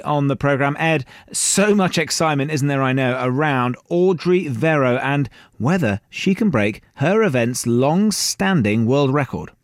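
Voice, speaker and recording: A man presents live sports radio in a mid-pitched voice, linking items and introducing correspondents. The sound is close and dry. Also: dry recording. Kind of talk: live scripted presenting, handovers.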